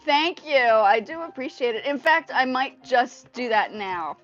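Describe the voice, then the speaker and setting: A woman from Indonesia, a native Indonesian speaker, hosting a casual livestream in a rambling, animated way, often repeating her phrases. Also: sits high, measured pace, soft background music. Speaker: livestream host. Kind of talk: casual livestream chat with viewers. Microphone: earpiece mic on a phone. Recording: dull lo-fi livestream audio through earpiece mic.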